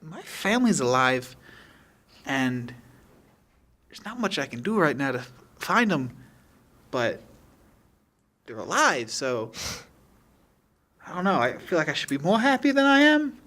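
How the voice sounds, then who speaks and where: A man's voice: a little high, big pitch swings, a little slow, pauses often, bright, forceful tone, voice pushed up, exaggerated voice. A man playing Taylor, an anxious, conflicted character seeking reassurance in a tabletop game, speaking hesitantly. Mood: anxious, uncertain. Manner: hesitant, uncertain.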